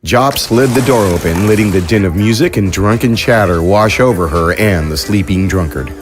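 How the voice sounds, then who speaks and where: A man narrating an audio drama in a steady storytelling delivery. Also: lowish voice, normal speed, music in the background.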